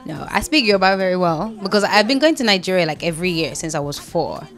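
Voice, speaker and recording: A young woman from London with a London accent, a singer telling stories in a casual interview, chatty and quick to laugh. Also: on the low side, animated, a bit bright. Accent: London English. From London, England. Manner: chatty storyteller, quick to laugh, talks through restarts.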